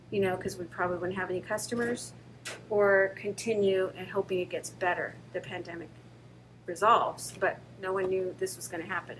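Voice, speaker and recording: A woman's voice: mid-range voice, fairly bright tone, a little hiss or hum, low-quality recording. An older woman, a shop owner, talking casually in an interview with fillers and restarts.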